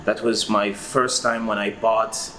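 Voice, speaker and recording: A man's voice: fairly low pitch, level tone, measured pace, sharp tone, a little hiss or hum. A man in his forties from Iraq, a record-collector guest who talks through his story in a rambling, hesitant way with lots of fillers. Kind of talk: casual interview answers.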